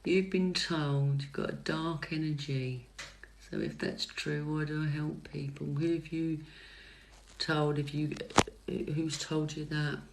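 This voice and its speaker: A middle-aged woman chats with viewers during a livestreamed tarot reading, her voice soft and calming. She speaks slowly, with a gravelly texture, pausing on fillers and restarting sentences as she answers comments. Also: deep voice, background noise. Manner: hesitant, many fillers and restarts. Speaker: psychic tarot reader. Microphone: phone livestream mic.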